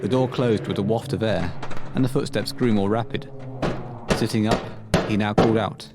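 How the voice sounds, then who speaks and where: A man narrating a scripted horror story for an audio play in a measured, literary storytelling manner. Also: lowish voice, heavy background noise, lightly acted.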